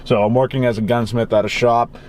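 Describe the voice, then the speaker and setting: A young man with a Massachusetts accent talks loosely and rambles, dropping the odd curse as he goes. His voice sits in an ordinary middle pitch. He is recorded in his car, and a faint hum of traffic runs underneath. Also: fairly even intonation, fairly quick, a little mellow. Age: young adult. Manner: rambling, casual, swears freely. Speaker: cigar reviewer. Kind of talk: casual vlog chat. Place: in his car on a rainy day.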